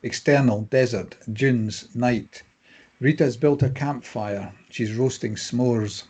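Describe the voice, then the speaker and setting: A man narrating screenplay stage directions in measured, clipped phrases. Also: medium pitch, darkish tone, breathy edge.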